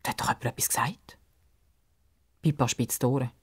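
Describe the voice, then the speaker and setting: A woman's voice: very deep voice, fairly even intonation, a little fast, gravelly, slightly rough. A woman with a Swiss accent telling a scripted children's story expressively.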